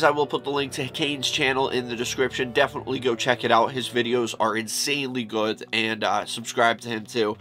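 A congested man in his thirties hosts a casual reaction vlog from his desk. His nasal voice is bright and a little sharp, and he rambles with plenty of fillers. Faint music plays underneath. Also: medium pitch. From New York, US. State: congested, stuffy nose. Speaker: YouTube reaction host. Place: small room full of horror collectibles.

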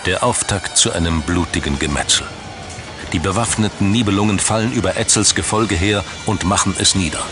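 A man's voice: deep voice, brisk. A man reading scripted documentary narration in pronunciation. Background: background music.

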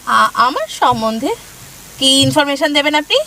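A woman's voice: sits high, moderate pace, sharp tone. An actress playing a young newlywed wife in an audio drama, affectionate with her husband and stammering when frightened. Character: young newlywed wife, tender then terrified.

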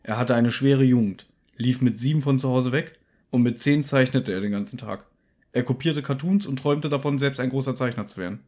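A man's voice: medium pitch, brisk, darkish tone. A man co-hosting a podcast in casual, conversational discussion. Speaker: podcast co-host.

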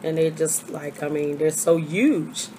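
An older woman, hoarse from a cold, chatting casually through a haul video at her webcam with lots of fillers. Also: low-pitched, steady tone, a little slow, mellow, a little hiss or hum. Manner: chatty, rambling with many fillers. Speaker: vlogger. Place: small bedroom. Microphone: webcam at desk.

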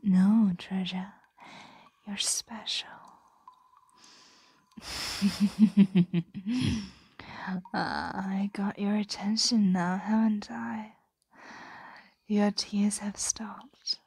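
A woman voicing a possessive, condescending ancient dragon in a breathy, coaxing ASMR roleplay whisper. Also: medium pitch, steady tone, drawn-out words, long pauses, a little mellow, dry recording, full character voice. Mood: coaxing, smug.

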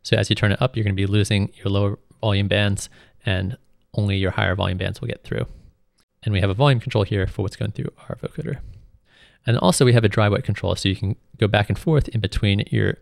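A man's voice: on the low side, fairly even intonation, fast, creaky, no room sound, clean studio sound. A man giving an informal, step-by-step tutorial voice-over as a music production instructor. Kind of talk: screen tutorial narration, explanatory.

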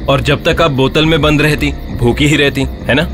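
A man voicing a brave, clever young village husband, polite and persuasive, in an animated story. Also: a little high, moderate pace, music in the background, natural voice.

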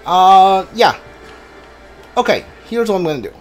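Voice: medium pitch, lively intonation, unhurried, soft background music. A video game streamer giving casual, hesitant commentary while thinking aloud.

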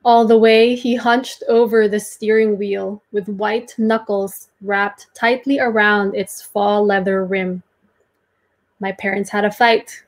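Young woman, mid-pitched, very unhurried and deliberate, dramatic prose reading from a home room. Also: level tone. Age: young adult. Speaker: performer reading a literary piece. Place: small domestic room, indoors.

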